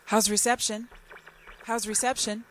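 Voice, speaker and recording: A woman acting a lonely amateur radio operator in a radio drama, calling out deliberately for anyone listening. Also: on the high side, level tone, a bit bright. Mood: anxious. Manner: slow, deliberate, repeated call signs. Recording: faint noise behind the transmission.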